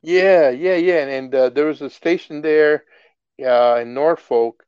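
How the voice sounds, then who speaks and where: A middle-aged man from the Philippines, a guest in a casual interview, telling stories with lively excitement. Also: a little high, unhurried, smooth, dry recording, lo-fi sound. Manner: animated storyteller, gets excited and laughs while telling stories. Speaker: guest, music artist.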